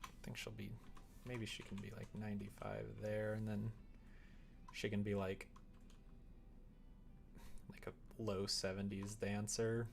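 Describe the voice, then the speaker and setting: A man chatting casually on a live stream, talking half to himself as he works, sometimes louder. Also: on the low side, little pitch movement, measured pace, vocal fry, long pauses, a little hiss or hum. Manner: mutters to himself while working, with sudden louder bursts. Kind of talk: casual stream chat while working. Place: indoors at a computer desk, keyboard typing behind.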